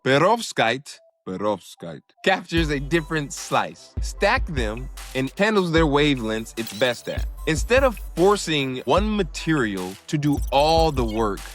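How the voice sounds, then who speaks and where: Guy hosting an energy podcast, lively up and down voice, little jokes, faint music behind. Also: on the high side. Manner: lively, jokey asides, small slips. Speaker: podcast host. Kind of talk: explainer talk.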